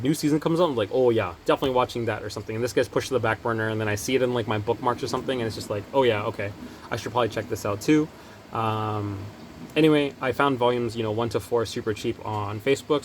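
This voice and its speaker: A man's voice: medium pitch, slight background noise. Rambling young man chatting about manga. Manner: rambling, lots of ums and likes. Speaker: manga collecting vlogger. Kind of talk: casual talk to camera.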